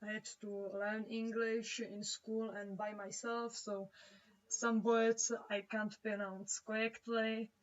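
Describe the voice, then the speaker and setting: A young woman from the Czech Republic, a native Czech speaker with a Czech accent, chats casually on a drawing livestream and haltingly searches for words. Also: medium pitch, little pitch movement. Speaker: livestreaming comic artist. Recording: dull, distant-sounding home microphone.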